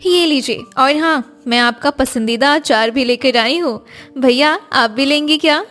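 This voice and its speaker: Woman voicing a high-pitched, polite cartoon housewife. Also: a little slow, fairly bright tone, soft background music. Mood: polite, cheerful. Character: earnest, polite young housewife in a family cartoon. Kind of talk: Hindi moral cartoon story acting.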